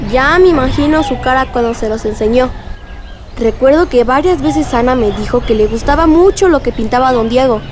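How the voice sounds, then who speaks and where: A woman's voice: high-pitched, moderate pace, close to an everyday voice. An actress playing a curious, sympathetic woman asking questions in a radio drama. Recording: faint outdoor noise with birdsong.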